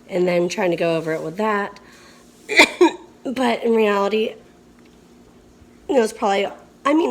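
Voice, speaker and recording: A young woman vlogging casually, hoarse and coughing, weary and frustrated. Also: mid-range voice, a little hiss or hum. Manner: tired, frustrated, drawn-out speech. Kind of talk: casual vlog chat.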